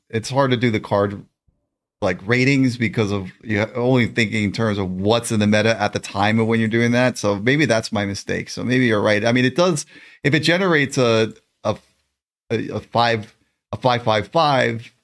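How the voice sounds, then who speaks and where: A man with a raspy voice co-hosting a gaming show, chatting off the cuff in a laid-back, rambling way and repeating his words. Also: on the low side, normal speed. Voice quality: raspy, gravelly. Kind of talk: casual unscripted chat about a card game.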